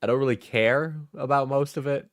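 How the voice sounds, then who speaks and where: A man's voice: medium pitch, steady tone, fairly quick, no echo, clean studio sound. A sleepy man livestreaming, chatting casually and rambling, laughing and swearing often. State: sleepy, just out of bed.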